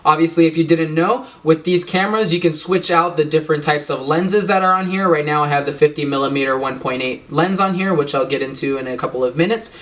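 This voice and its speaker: A man's voice: a little high, brisk, few pauses, fairly bright tone, distant mic. A young man recovering from a cold, chatting casually to camera about his filming gear from a small home office, with lots of "you know" and "um". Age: young adult. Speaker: YouTube vlogger.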